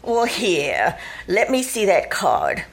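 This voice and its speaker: A woman's voice: mid-range voice, wildly swooping pitch, slow pace, vocal fry, husky, heavily acted. A woman playing a flustered, genteel society mother, fussy and proper, in 1940s radio style.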